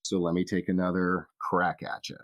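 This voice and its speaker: A man's voice: low-pitched, completely flat delivery, normal speed, noisy background. A man narrating a tabletop role-playing game as game master, casual and free with swearing. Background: music.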